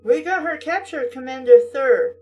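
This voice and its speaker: A woman's voice: high-pitched, lively intonation, normal speed, lo-fi sound, soft background music. Woman reading as a bossy boy playing soldier. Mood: bossy playacting. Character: bossy boy playing military alien-hunting commander. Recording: distant mic.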